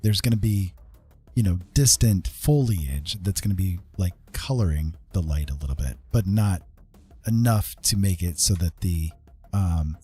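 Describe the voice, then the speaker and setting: Low-pitched man, mellow tone, casual tutorial chat, thinking aloud, faint music behind. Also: animated, unhurried, breathy edge. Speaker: livestream host, digital artist.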